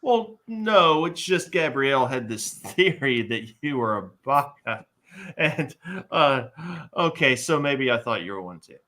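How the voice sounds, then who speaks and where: A man's voice: medium pitch, sing-song, a little slow, pushed and bright. A man playing a bumbling, boastful, eager sidekick with blustering false bravado at a live fantasy table read. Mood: sheepish.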